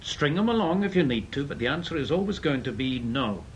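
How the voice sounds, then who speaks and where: A man's voice: a little high, lively intonation, fairly quick, highs cut off, slight background noise, natural voice. An actor playing a stubborn, hard-line British intelligence committee man in a radio drama, blunt and firm. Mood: adamant.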